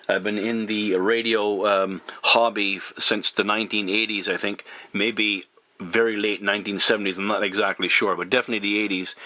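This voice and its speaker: Bright-voiced, courteous middle-aged man on radio. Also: lowish voice, no room sound. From Newfoundland, Canada. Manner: courteous, hesitant, halting phrasing. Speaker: CB radio operator. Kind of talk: casual radio contact chat. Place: at a CB radio station. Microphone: radio microphone.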